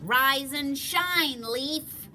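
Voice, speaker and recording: A woman voicing a loud, bossy female capybara bully who shouts and blusters indignantly. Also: high-pitched, extremely slow, crowd noise, voice pushed up. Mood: shouting.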